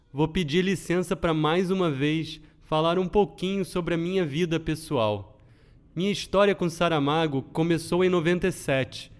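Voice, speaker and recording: Earnest Brazilian man hosting a podcast. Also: fairly high pitch. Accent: Brazilian Portuguese. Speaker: podcast host. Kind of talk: scripted podcast narration.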